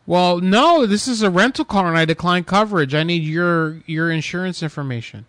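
A man's voice: high-pitched, moderate pace, fairly bright tone, no echo. A man making a prank phone call on a radio show, insistent and accusing, with feigned panic.

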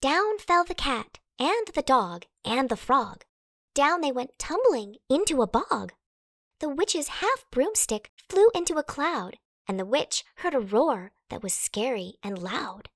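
Animated high-voiced woman reading a storybook. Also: a little slow, no room sound, clean studio sound. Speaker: storybook narrator. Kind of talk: expressive children's read-aloud in rhyme.

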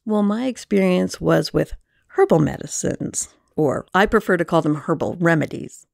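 Female podcast guest, creaky voice, conversational, dry recording. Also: on the low side, animated, normal speed, a little mellow, dry recording. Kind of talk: conversational interview answers.

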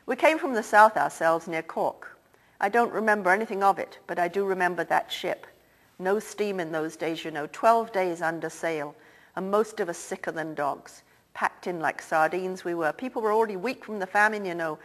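A woman's voice: lowish voice, moderate pace, lightly acted. A woman reading the part of an older, wealthy Irish-born theatre man who talks in a confiding, lilting way. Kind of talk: audiobook character reading.

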